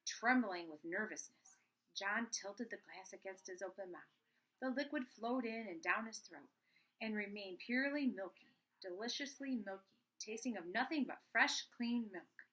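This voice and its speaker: A woman's voice: medium pitch, moderate pace, bright, forceful tone, rough recording. A middle-aged woman reading a children's story aloud. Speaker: storybook reader.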